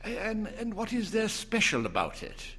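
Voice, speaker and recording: Man acting an excitable, high-pitched querulous old father. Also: a little slow, slight background noise, heavily acted. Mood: eager, curious. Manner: blustering, animated exclamations. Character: excitable, querulous elderly father, hospitable and curious. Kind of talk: dramatized short-story reading. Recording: reverberant hall.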